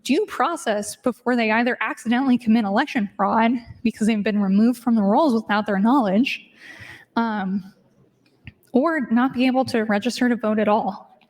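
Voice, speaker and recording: A woman's voice: fairly high pitch, moderate pace, a little mellow. Woman arguing on a legislative floor. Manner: argumentative, mixes statute readings with casual asides. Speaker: state legislator in floor debate. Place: legislative chamber.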